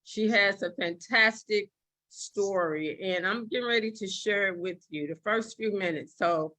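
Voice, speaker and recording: A woman's voice: mid-range voice, unhurried, pushed and bright. A woman on a video call giving an emphatic motivational talk to her team and introducing a video. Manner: emphatic, repetitive.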